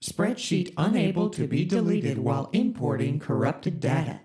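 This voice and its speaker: An actor voicing a deadpan corporate ship AI. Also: medium pitch, moderate pace, loud music behind the voice, natural voice. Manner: flat, polite, bureaucratic. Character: deadpan corporate spaceship computer AI. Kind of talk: audio drama.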